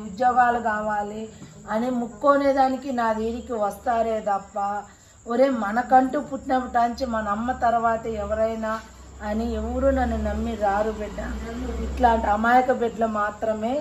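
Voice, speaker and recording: A woman playing a gentle mother goddess. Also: on the high side, reverberant, a little hiss or hum, close to an everyday voice, poor audio. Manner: soft, consoling, sermon-like. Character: gentle, all-knowing village mother goddess.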